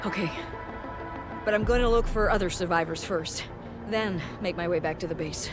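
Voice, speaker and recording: An actress playing a shaken but plucky construction crew leader in a video game, earnest and plain-spoken. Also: female voice, on the low side, normal speed, music in the background, close to an everyday voice. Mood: earnest, frank.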